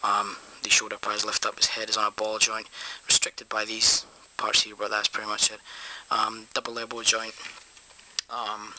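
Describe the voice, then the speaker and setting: A man's voice: on the low side, completely flat delivery, moderate pace, pushed and bright, poor audio. A Scottish man from Scotland reviewing an action figure at his desk in a casual vlog, enthusiastic and rambling with lots of fillers. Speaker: toy reviewer. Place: small room at a desk.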